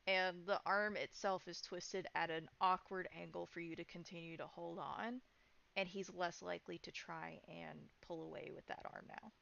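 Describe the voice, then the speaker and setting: A woman narrates a tabletop horror game as its game master. Her voice sits on the low side with plenty of gravelly fry, and the recording is a little dull. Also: fairly even intonation, normal speed, fairly bright tone.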